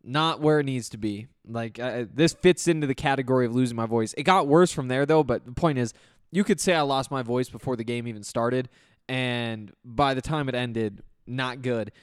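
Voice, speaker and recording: A young man, slightly hoarse after losing his voice, hosting a casual solo sports podcast and rambling with lots of fillers. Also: mid-range voice, normal speed, dry recording, studio quality. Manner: rambling, full of fillers and restarts.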